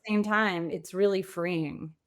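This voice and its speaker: A woman guest on an interview podcast, speaking reflectively and articulately with emphatic pauses. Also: on the low side, steady tone. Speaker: podcast guest, pivot coach.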